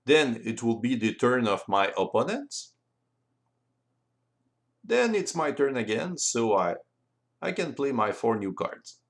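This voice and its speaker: Slow-paced French man explaining game rules. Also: mid-range voice, very animated. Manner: careful, deliberate non-native English delivery. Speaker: board game rules presenter. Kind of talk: explanatory tutorial voice-over.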